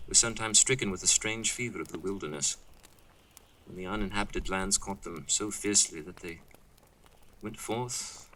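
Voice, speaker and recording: A man's voice: fairly low pitch, pushed and bright, a little hiss or hum, rough recording. A man playing an earnest, talkative young college student camper, chatty but hesitant and musing, in an audio drama. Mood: uneasy.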